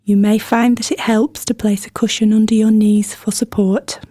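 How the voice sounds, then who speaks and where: A woman leading a guided meditation in a gentle, soothing manner, with scripted instructions and unhurried pauses between phrases. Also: mid-range voice, level tone, no room sound, studio quality.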